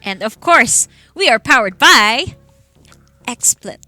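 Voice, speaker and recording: A Filipino-accented woman casts and hosts esports in a high voice. Her tone is very bright and sharp. She speaks in a casual broadcast style. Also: big pitch swings, slow pace, no echo, soft background music. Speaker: esports caster and host. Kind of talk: casual broadcast commentary in Taglish.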